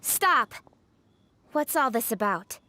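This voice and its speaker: A woman playing a brisk, self-assured young noblewoman official in a video game, poised and direct. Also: fairly high pitch, sing-song, sharp tone, a little hiss or hum, exaggerated voice. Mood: commanding. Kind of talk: animated video game dialogue.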